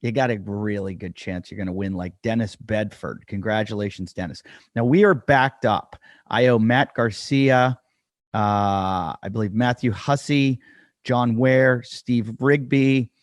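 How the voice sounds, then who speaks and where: A man's voice: lowish voice, unhurried, dry recording, clean studio sound. A man hosting a podcast, talking casually and self-deprecatingly with lots of fillers as he introduces the show and his guest. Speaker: podcast host. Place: dry room at home.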